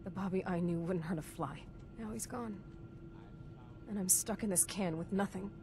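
A woman's voice: on the low side, normal speed, noisy background, close to an everyday voice. A woman playing a weary, tough young vault dweller in a video game, blunt and informal as a protective sister. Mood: bitter, resigned. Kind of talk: video game dialogue acting. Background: game music.

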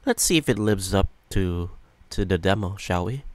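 Low-voiced man reacting casually. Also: animated, normal speed, no room sound. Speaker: reaction video host. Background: music.

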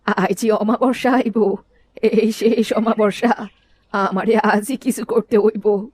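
A woman voicing a scheming old crone in a storybook cartoon, drawling slowly in a rural eastern Bengali dialect. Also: medium pitch, steady tone, a little mellow, no echo. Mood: brooding, scheming. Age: older. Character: scheming old village crone lurking in a forest.

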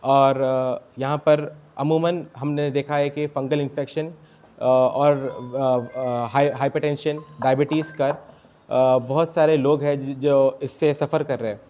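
A young man, a doctor answering interview questions haltingly, with broken starts and English medical terms. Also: mid-range voice, fairly even intonation, dark tone. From India. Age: young adult. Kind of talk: interview answers at a health camp. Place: small clinic room, indoors. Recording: dull lo-fi sound.

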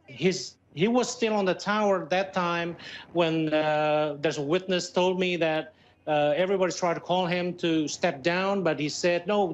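Higher-pitched Indonesian man on a video call. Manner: halting, searching for words in English. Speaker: airline pilot, interview guest. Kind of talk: live news interview. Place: plain indoor room. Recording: dull, lo-fi Skype call.

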